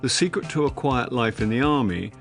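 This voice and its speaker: Middle-aged man, wry sardonic drama narrator, lively pitch. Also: brisk, vocal fry, soft background music. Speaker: actor and narrator. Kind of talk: first-person radio drama narration.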